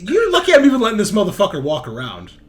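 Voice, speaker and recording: Man game master with lively, swinging pitch. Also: racing. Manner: sweary, bantering. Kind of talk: casual tabletop roleplay narration and banter.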